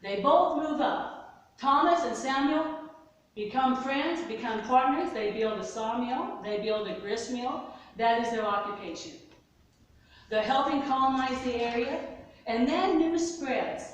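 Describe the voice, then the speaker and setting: A soft-spoken woman telling pioneer-style folk tales to a live audience, her voice lifting sharply in pitch at moments of surprise. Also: measured pace, a little hiss or hum. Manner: soft-spoken, animated storytelling with sudden pitch lifts. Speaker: storyteller. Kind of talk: oral storytelling to a live audience. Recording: far-off mic in an echoey hall.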